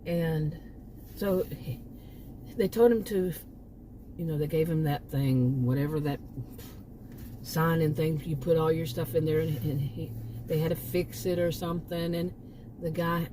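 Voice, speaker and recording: A woman's voice: sits low, steady tone, normal speed, long pauses, noisy background. A woman from Texas with a Texan accent, talking casually to her channel and rambling through restarts and asides. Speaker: channel host. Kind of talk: casual monologue.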